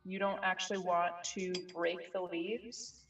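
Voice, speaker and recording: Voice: mid-range voice, flat delivery, measured pace, gravelly, far from the mic, noisy background. A young adult co-host in their twenties, casually walking others through a cocktail and bossing them between steps, with some swearing. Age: 20s. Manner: bossy, instructive, swearing. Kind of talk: casual chat while making a drink.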